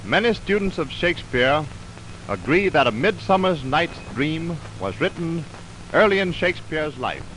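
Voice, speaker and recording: An American man announcing a 1920s radio programme from a script, formal, measured and declamatory, on a scratchy old recording. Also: a little high, big pitch swings. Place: radio studio.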